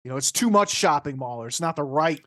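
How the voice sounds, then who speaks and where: A man's voice: a little high, animated, fast talker, pushed and bright, no echo, clean studio sound. A man hosting a podcast interview in a loose, casual conversational style, asking questions.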